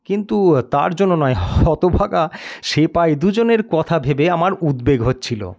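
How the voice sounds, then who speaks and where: A man's voice: a little high, animated, measured pace, creaky, a little mellow. A man narrating a scripted first-person story in a dramatic style.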